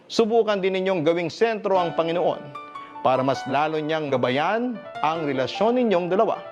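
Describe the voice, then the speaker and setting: A man with a Filipino accent reading listener letters as a scripted story narration and giving advice as a radio host. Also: high voice. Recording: dull audio with music behind. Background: music bed.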